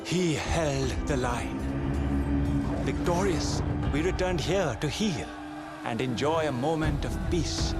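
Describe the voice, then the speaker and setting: A battle-worn fantasy soldier, solemn and full of grief. He speaks slowly and haltingly at a medium pitch. Loud dramatic game music runs behind him. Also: vocal fry. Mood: solemn pride. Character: grieving, battle-worn male soldier survivor. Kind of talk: video game character acting.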